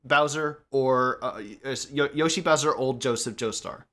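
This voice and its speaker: A young man chatting casually with friends on a podcast, excitable, often stammering and repeating himself. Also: mid-range voice, measured pace. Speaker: podcast member. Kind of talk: casual group chat.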